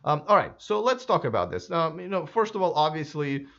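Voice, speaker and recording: A hoarse man hosting his own channel, chatting casually and speculating aloud, with many fillers and restarts. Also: a little high, sing-song, fairly quick, a bit bright, highs cut off. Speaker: YouTube electric car channel host. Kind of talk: casual solo commentary to camera.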